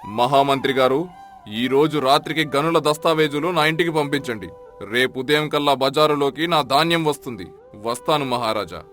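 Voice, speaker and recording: A man's voice: on the high side, a bit bright, natural voice. A man playing an arrogant, scheming grain merchant in a folk-tale drama, haughty and mock-polite as he makes threats. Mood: smug.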